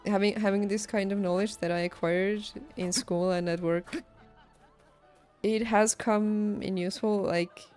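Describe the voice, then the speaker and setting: A woman game streamer chatting casually, thinking aloud haltingly and laughing easily, as if working out each thought while she talks. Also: mid-range voice, steady tone, darkish tone. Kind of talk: casual livestream chat over gameplay. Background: game music.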